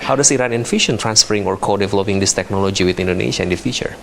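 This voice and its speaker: A man's voice: on the low side, racing, creaky, slight background noise. A young adult man interviewing a guest, asking formal questions and humming along often as he listens. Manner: steady backchannel hums, formal questions.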